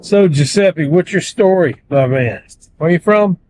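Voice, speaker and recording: A man's voice: sits high, expressive, a little slow, very noisy. A man hosting a podcast while driving rideshare, chatting casually and prompting his passengers. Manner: friendly, prompting questions. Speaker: rideshare driver and podcast host. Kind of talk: casual chat. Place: inside a car. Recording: hidden mic in a car.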